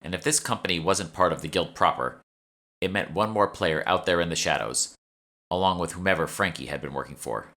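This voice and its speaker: A man's voice: deep voice, fairly quick, bright, forceful tone. A man narrating a first-person fantasy audiobook, reading from a script as a storyteller. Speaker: author reading own audiobook.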